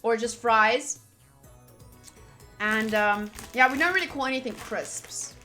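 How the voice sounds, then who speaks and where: A woman's voice: fairly high pitch, slow, bright, forceful tone, faint music behind. A woman game streamer reading game text aloud and chatting informally with casual asides.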